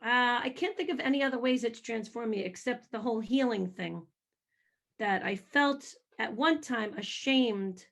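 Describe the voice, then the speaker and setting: A woman singer answering interview questions about her faith, telling her story in a lively, hyper way. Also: medium pitch. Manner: animated storytelling, self-described hyper.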